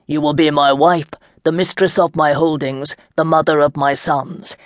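A reader playing a commanding dark lord who speaks calmly and with quiet mockery. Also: normal speed, no room sound, clean studio sound, lightly acted. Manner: calm, low and assured.

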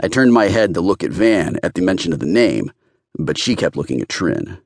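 A man's voice: low-pitched, big pitch swings, moderate pace, creaky, a little mellow, no echo, studio quality. A man narrating first-person fiction as a scripted audiobook reader.

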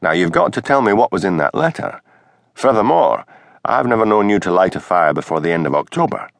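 A man's voice: low voice, sing-song, fairly quick, gravelly, no echo, heavily acted. Man doing a dry, sardonic village policeman. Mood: severe. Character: wry Highland village policeman in his thirties.

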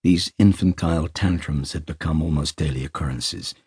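Husky, low-voiced male audiobook narrator. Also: normal speed, darkish tone, dry recording, clean studio sound. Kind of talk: expressive literary narration.

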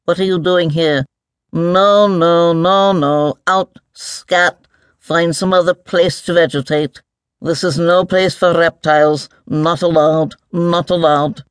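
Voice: medium pitch, steady tone, slow pace, clean tone, no room sound, natural voice. A storyteller of unclear sex voicing a grumpy, fussy, near-sighted giant mole that mumbles and repeats itself in a pompous, liquid mutter. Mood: flustered, dismissive. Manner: repetitive, indignant muttering to itself.

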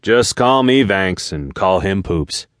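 A man's voice: deep voice, expressive, slow, dry recording, studio quality. A man voicing an earnest, plain-spoken, determined hero in a fantasy audiobook, with informal phrasing. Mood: friendly, apologetic. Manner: earnest, informal, hesitant at times. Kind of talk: audiobook character voice.

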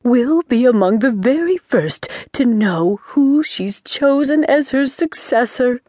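A woman voicing a giddy witch who gushes in a high, breathy half-whisper. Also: expressive, slow pace, warm tone, no echo. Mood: thrilled. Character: giddy, gushing female witch.

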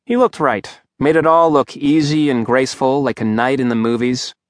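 A man's voice: medium pitch, normal speed, dry recording. Wry male audiobook narrator. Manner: dry, wry storytelling. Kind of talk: scripted narration. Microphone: studio microphone.

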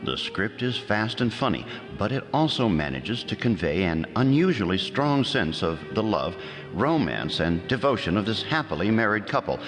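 A male host reads a scripted introduction in a low, lively voice with wide pitch swings. Loud music plays underneath. A long reverb trails his words. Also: moderate pace. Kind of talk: scripted narration.

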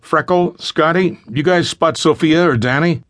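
A man voicing a terse, commanding tactical team leader with a steady manner, in an audiobook thriller. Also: a little high, measured pace, no room sound, studio quality, pitched above the performer's own voice. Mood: urgent, commanding.

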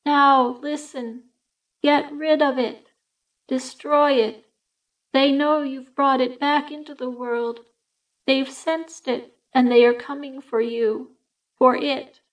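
Woman reading ghost of a young woman, unemotional, flat, chilling, high and slow, storybook. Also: distant mic, rough recording. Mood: cold.

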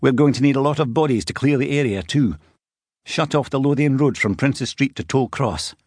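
Man playing male detective inspector, husky, raised pitch, clipped and commanding, urgent, audiobook dialogue, dry sound. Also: moderate pace, darkish tone, no echo, lightly acted. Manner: clipped, urgent official speech.

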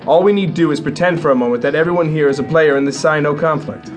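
Actor as a cocky, higher-voiced American captain. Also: male voice, fast, natural voice. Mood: insistent. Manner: folksy, swaggering banter. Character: cocky, wisecracking American air force captain. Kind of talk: audio drama acting. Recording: music behind the voice.

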